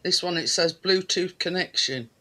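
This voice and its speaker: A man's voice: high voice, moderate pace, pushed and bright, a little hiss or hum. A man in casual chat, hesitant and searching aloud as he talks. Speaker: visitor discussing his mother's care.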